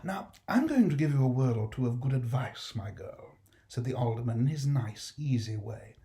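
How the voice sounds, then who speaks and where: A man's voice: mid-range voice, animated, normal speed, mellow, close to an everyday voice. A man playing a smug, condescending alderman who lectures the poor with a chuckle. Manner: patronizing, jovial, chuckling. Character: smug pompous male alderman, condescending magistrate.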